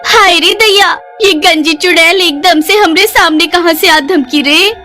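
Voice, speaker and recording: Cartoon village wife female performer: high voice, rustic, whining, aggrieved, over background music. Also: unhurried, bright, forceful tone, full character voice. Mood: panicked pleading. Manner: rustic, wailing, melodramatic. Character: distraught village wife shorn bald, whining and indignant. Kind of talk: Hindi cartoon horror-comedy acting.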